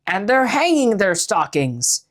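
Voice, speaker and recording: A woman's voice: medium pitch, sing-song, slow pace. A woman voicing a sour, sneering old male creature who schemes and grumbles in a storybook read-aloud. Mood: sneering, agitated. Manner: snarling, sneering, sly.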